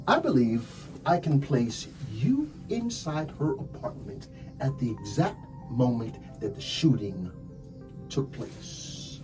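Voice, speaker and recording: A man playing a calm, methodical, sardonic police detective in an ASMR roleplay, talking deliberately with drawn-out phrasing and wry asides. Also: mid-range voice, low-quality recording. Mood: pressing, sardonic. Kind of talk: slow ASMR roleplay acting. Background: music.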